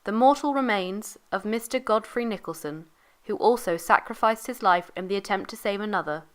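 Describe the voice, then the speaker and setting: A woman's voice: medium pitch, normal speed. A woman narrating, reading an old news report from a script.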